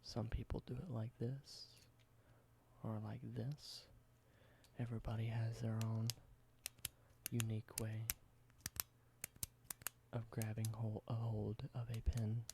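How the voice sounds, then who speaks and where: A young man doing a soft, halting ASMR whisper, slowly explaining everyday objects in simple words. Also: fairly low pitch, level tone, gravelly, background noise. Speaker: ASMR creator.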